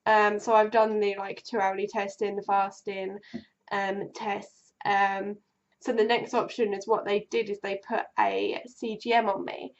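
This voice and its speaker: A woman's voice: medium pitch, flat delivery, measured pace, muffled recording. A young woman with a cold and a bunged-up voice that keeps going, chatting in a casual vlog with lots of ums and restarts. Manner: rambling, many ums and restarts. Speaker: vlogger.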